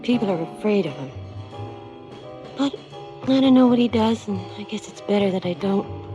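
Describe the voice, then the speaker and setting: Actress as hushed, wistful kept mistress, music behind. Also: female voice, mid-range voice, lively intonation, moderate pace, close to an everyday voice. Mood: matter-of-fact, confiding. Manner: hushed, confiding, often dropping to a whisper. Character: Anne, kept mistress of a crime boss, wistful and wary. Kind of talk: 1970s radio drama acting, intimate confessional monologue and dialogue.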